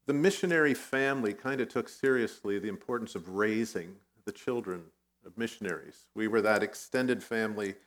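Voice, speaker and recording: Middle-aged guy preaching, chatty self-deprecating stories, clears his throat sometimes, mid pitch. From Vietnam. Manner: conversational, self-deprecating storytelling. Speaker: guest pastor. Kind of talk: sermon.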